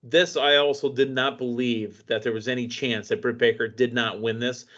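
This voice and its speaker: A man's voice: medium pitch, fairly even intonation, moderate pace, fairly bright tone. A man hosting a wrestling podcast, recapping matches in casual, upbeat chat. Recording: dull lo-fi audio.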